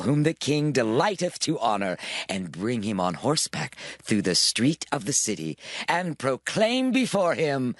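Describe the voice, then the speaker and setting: An actor playing a proud, scheming royal minister in a dramatized scripture recording, speaking in a declamatory, boastful way. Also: male voice, fairly low pitch, dry recording. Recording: dry studio voice with faint music.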